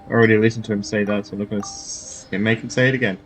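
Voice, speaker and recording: A man giving casual, off-the-cuff let's play commentary, halting and repeating his phrases as he goes. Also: fairly low pitch, fairly even intonation, faint music behind, low-quality recording. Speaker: gaming video host.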